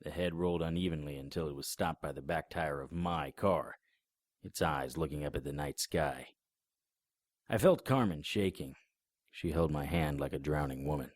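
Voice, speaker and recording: Man, very deep voice, husky, grim matter-of-fact noir narration, first person, dry close recording. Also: moderate pace, dry recording. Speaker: narrator and lead character.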